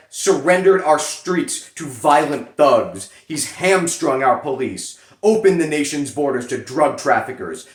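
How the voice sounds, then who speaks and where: A man giving a solemn, theatrical dramatic reading with emphatic pauses. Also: mid-range voice, fairly bright tone. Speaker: reader performing a letter. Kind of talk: dramatic reading of a political fundraising letter. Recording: echoey room.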